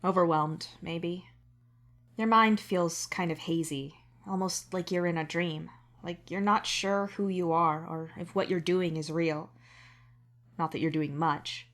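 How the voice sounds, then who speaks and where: Slightly low-voiced woman narrating a story. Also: steady tone, measured pace. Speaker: narrator and author reading own fan fiction.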